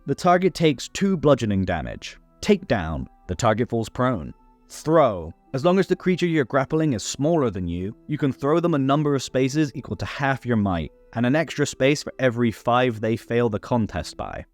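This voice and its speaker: Male explainer host, animated intonation, scripted rules tutorial, music underneath. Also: mid-range voice, normal speed. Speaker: host of a tabletop game rules series. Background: background music.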